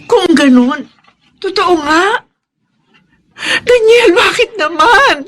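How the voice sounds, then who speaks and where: Worried, gentle Filipino wife for radio drama, unhurried, very high voice. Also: female voice, expressive, background noise, exaggerated voice. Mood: distressed pleading.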